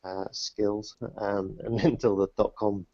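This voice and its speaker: Brief: man in his thirties from the UK, lowish voice, halting and self-deprecating, dry lo-fi call line. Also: flat delivery, mellow, dry recording. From New Zealand, grew up in the UK. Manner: hesitant, many restarts and fillers, self-deprecating. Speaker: guest entrepreneur. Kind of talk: casual interview answers. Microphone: remote call, dull lo-fi and dry. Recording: dull dry online call audio.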